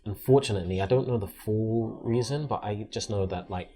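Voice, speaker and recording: A man's voice: lowish voice, a little fast, mellow. A man with a London accent chatting informally as a podcast guest, a little hesitant in his phrasing. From London, England. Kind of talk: casual interview chat.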